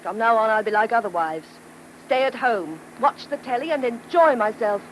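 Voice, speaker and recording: A woman's voice: a little high, animated, moderate pace, close to an everyday voice, poor audio. An actress playing an aggrieved, nagging English wife in a radio play, indignant and emphatic in her complaints. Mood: indignant, complaining. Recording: hissy old radio drama recording.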